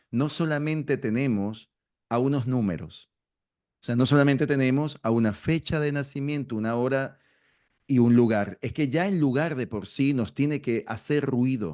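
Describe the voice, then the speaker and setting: A middle-aged man from Venezuela talks at a mid pitch. He explains an idea slowly and often restarts, repeating words as he searches for the next phrase. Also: a little mellow. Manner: hesitant, repeats words while thinking. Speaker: astrology school host. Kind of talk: conversational explanation.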